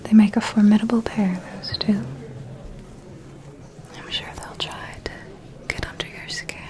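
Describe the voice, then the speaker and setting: A woman playing a refined, protective vampire mentor in an ASMR roleplay, confiding discreet gossip in a soft, measured whisper. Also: medium pitch, steady tone, close to an everyday voice. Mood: confiding, wary.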